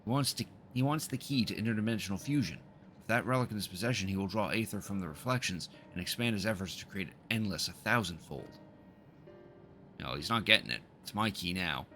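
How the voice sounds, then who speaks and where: Male streamer, deep and forceful, casually narrating on-screen game dialogue, soft music underneath. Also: fairly quick. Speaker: livestreamer playing a game. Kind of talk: reading game dialogue aloud with casual asides. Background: video game music.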